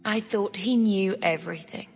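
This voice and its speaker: Wistful young sister mage. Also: female voice, mid-range voice, normal speed, creaky, darkish tone, slightly rough. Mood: reflective. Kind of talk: fantasy game cutscene acting. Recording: hall reverb, music behind.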